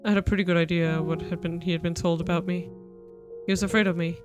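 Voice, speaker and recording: A woman streamer reading a visual novel's narration aloud, steady and even. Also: lowish voice, fast, music in the background. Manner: steady narration with playful retorts.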